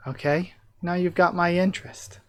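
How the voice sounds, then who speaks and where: A man's voice: sits high, fairly even intonation, moderate pace, fairly bright tone, no echo. A man giving a casual live reaction as host, thinking aloud and speculating as he goes. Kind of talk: live reaction, reading comic dialogue aloud with casual commentary.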